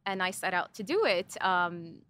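A woman's voice: lowish voice, animated, normal speed. Thoughtful woman guest in a studio. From Jordan. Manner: thoughtful, warm, frequent ums and soft laughs. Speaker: podcast guest, entrepreneur. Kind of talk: conversational interview answers. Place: podcast studio.

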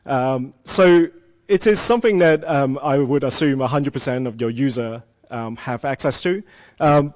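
A man's voice: medium pitch, a little mellow. A man from Hong Kong, a native Cantonese speaker, giving a live conference tech talk in a casual style with many fillers. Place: conference room with audience.

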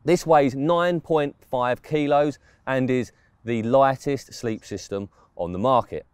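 A man's voice: mid-range voice, slow pace, smooth, no echo, studio quality. A man, talking casually through a product review while standing outdoors in woodland. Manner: chatty, informal, explains specs step by step. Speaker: fishing gear reviewer.

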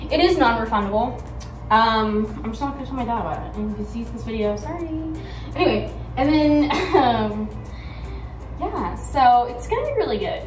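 Casting: teen woman vlogger, eighteen, bright-ish voice, dry sarcasm, music under her. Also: a little high, normal speed, poor audio. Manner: sarcastic, deadpan, swearing. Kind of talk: casual vlog chat. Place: car, then small hotel room. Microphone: phone held at arm's length.

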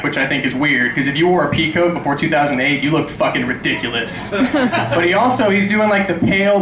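Man in his twenties, open-mic stand-up, self-deprecating rant, sarcastic, swearing, roomy echo, noisy background. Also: a little high. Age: 20s. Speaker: stand-up comedian. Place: small dim club stage, indoors. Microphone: handheld stage microphone. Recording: echoey room with clear background noise.

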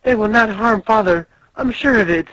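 Man putting on an earnest high-voiced young princess. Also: moderate pace, fairly bright tone, no room sound. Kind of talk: amateur comic read-aloud. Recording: dull lo-fi voice recording.

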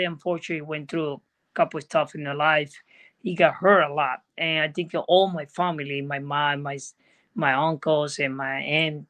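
A man's voice: fairly high pitch, a bit bright. A middle-aged man from Venezuela with a Venezuelan Spanish accent, a native Spanish speaker, speaking earnestly in plain non-native English as a guest on a video call from a room indoors. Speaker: guest jockey. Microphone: video call from laptop.

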